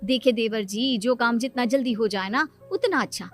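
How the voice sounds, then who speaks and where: Woman as scheming cartoon sister-in-law, young mother, persuasive, insistent, slightly high pitch. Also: moderate pace, soft background music, close to an everyday voice. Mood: coaxing, insistent. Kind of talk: cartoon moral story acting.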